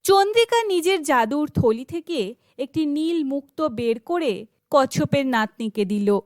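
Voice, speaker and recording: A woman's voice: high-pitched, expressive, a little slow, no echo, clean studio sound. A woman reading scripted fairy tale narration for children, as a storyteller.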